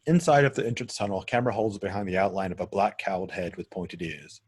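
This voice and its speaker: A man reads out screenplay directions as the narrator of a table read. His voice is fairly low and rather husky. He goes through the scene descriptions in a measured, scripted manner. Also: darkish tone.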